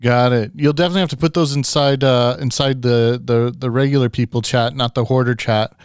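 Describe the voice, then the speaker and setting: A man's voice: medium pitch, steady tone, a little fast, clear, resonant tone, no echo, clean studio sound. A man hosting a casual interview, talking in an informal, encouraging way. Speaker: podcast host, interviewer. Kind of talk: casual chat.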